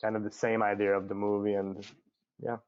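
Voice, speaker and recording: A man from Budapest with a Hungarian accent, speaking haltingly and repeating words as an interview guest in an unscripted panel conversation. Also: lowish voice, monotone, smooth. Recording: muffled lo-fi online call.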